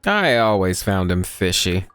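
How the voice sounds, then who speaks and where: Female streamer, very low register, slangy and deadpan, chatting over a game with faint music. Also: unhurried, clear, resonant tone. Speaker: gameplay streamer. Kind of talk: casual game playthrough commentary.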